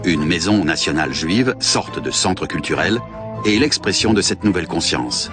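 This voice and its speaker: Man, very low voice, scripted documentary narration, even measured delivery, music bed. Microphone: studio voice-over mic. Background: background music.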